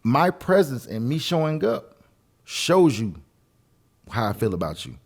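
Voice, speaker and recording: Man, mid-pitched voice, casual podcast chat, opinionated, emphatic, repetitive phrasing. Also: very animated, unhurried, gravelly. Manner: emphatic, repeats phrases for effect. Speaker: podcast co-host.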